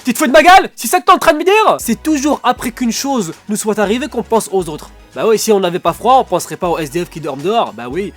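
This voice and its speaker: A man's voice: high-pitched, expressive, quick pace, hardly any pauses, fairly bright tone, soft background music. A man with a stuffy nose doing a rambling comic vlog from under a duvet at home, acting out little dialogues. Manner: joking, rambling, acts out dialogues. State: stuffy nose, sore throat. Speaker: vlogger.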